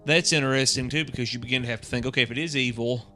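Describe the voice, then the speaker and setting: A man talks casually as a podcast co-host, musing and feeling his way through ideas. His pitch sits in a middle range, and music plays under his voice. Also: fairly even intonation, very fast, pushed and bright. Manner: musing, tentative, thinking aloud. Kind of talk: casual discussion of a horror radio drama.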